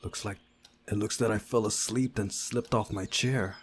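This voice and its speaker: A man's voice: fairly low pitch, fairly even intonation, normal speed, slight background noise. A man reading a visual novel aloud as a playthrough host, with casual asides.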